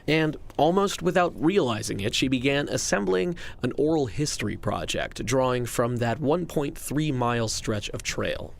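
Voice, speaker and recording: Gravelly male radio reporter narrating. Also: medium pitch, a little hiss or hum. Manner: measured, even storytelling delivery. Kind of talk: scripted radio feature narration.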